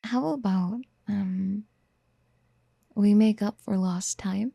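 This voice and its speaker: A woman playing a doting, obsessive would-be mother in a soft-spoken ASMR roleplay, breathy and often near a whisper, tenderly coaxing. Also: medium pitch, slow pace, dry recording, studio quality, full character voice. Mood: shyly eager. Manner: tender, coaxing, hesitant pet-name murmuring. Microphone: close microphone in a dry, quiet space.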